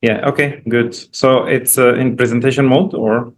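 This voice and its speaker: A man's voice: mid-range voice, flat delivery, normal speed. Man lecturing in Egyptian-accented English. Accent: Egyptian Arabic. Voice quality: non-native English phrasing. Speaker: professor giving a guest lecture. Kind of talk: informal academic presentation with slides. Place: online video call.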